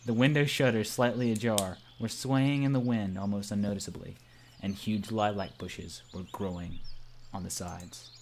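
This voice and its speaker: A man's voice: on the low side, fairly even intonation, moderate pace, a little mellow, slight background noise. A man reading a visual novel aloud as narrator and player, in a casual, playful, theatrical way.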